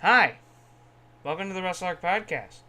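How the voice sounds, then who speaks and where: A man's voice: sits high, expressive, moderate pace, pushed and bright. A man hosting a podcast in an informal, sweary style, often repeating himself as he talks off the cuff. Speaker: podcast host. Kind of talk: casual chat and unscripted sponsor reads.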